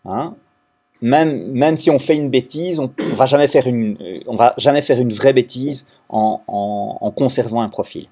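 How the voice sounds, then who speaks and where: Husky Belgian man teaching a class. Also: medium pitch, normal speed, mellow. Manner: hesitant, restarts often, explanatory. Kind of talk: recorded classroom lecture.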